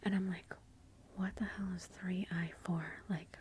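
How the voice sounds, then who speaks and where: A woman's voice: medium pitch, level tone, measured pace. Woman whispering asmr, chatty and amused, bit of faint room hiss behind. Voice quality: soft whisper. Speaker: ASMR vlogger.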